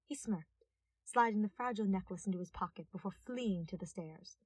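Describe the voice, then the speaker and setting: A woman's voice: medium pitch, fairly quick, a little mellow, no echo, distant mic. A woman reading scripted fiction aloud as a narrator. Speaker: podfic narrator.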